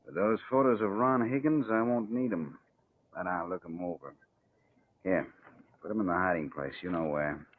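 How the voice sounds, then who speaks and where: An actor playing a gruff, bossy crooked roadhouse boss in an old-time radio drama. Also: male voice, deep voice, normal speed. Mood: sly, guarded. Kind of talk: 1940s radio drama acting. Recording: noisy, muffled old radio recording.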